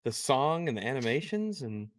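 A man's voice: mid-range voice, big pitch swings, normal speed, no echo. A man talking casually and informally in a group chat.

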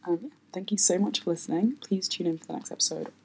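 A woman's voice: on the low side, fast, vocal fry, a little mellow, slight background noise. A woman hosting her own podcast in an unscripted, hesitant chat, with lots of ums and light laughs. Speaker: podcast host.

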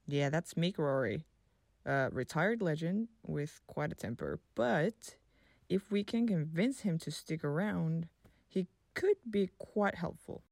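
An actress playing a wry, practical team member in an audio drama, casual and teasing. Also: female voice, low-pitched, expressive, slow, vocal fry, darkish tone, dry recording, heavily acted.

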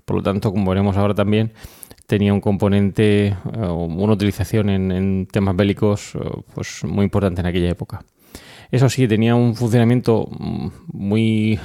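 Podcast host, male, Spain accent. Lowish, soft-edged mellow voice, conversational and hesitant, explaining informally. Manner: conversational, hesitant with frequent filler words. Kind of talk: casual explanatory podcast monologue.

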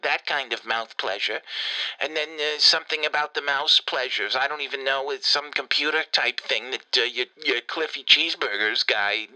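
A man's voice: fairly high pitch, pushed and bright, poor audio. A man voicing a rambling, vain, sunburned elderly grandmother who leaves a bossy phone message, stammering through restarts and cursing in exasperation. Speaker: comedian doing a character voicemail. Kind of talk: improvised comedic phone message.

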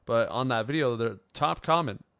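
A man in his twenties hosts a casual talk show with a smooth, clear voice. He tells stories in an animated, jokey way, and the sound is close and dry. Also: medium pitch, moderate pace, no room sound. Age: 20s. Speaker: host of a fan sports show. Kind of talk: casual chat.

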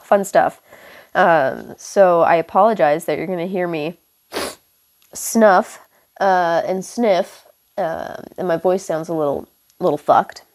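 A young woman hosting a podcast, hoarse and stuffed up from illness, rambling casually with lots of fillers and apologies while walking around her house on a headphone mic. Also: fairly low pitch, slow, darkish tone. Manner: meandering, many fillers and apologies, casual swearing. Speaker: podcast host. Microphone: headphone mic into phone.

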